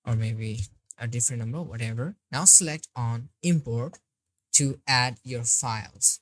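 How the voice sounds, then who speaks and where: Woman instructor with a strikingly low voice, unhurried, talking through each click in a dry, close voice-over. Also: no room sound. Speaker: software tutorial instructor. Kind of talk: screen-recorded tutorial walkthrough.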